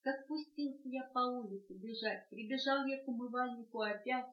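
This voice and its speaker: A woman reading a children's poem aloud in a rhythmic, lively sing-song, recorded at home on a cassette tape. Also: fairly high pitch, fairly quick, echoey room, lo-fi sound. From Russia. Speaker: reader of children's poems.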